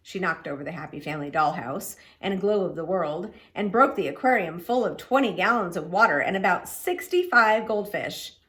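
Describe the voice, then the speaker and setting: Animated woman reading a children's story aloud. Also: lowish voice. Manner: animated, dramatic emphasis on key words. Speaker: children's book reader. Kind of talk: expressive storybook read-aloud.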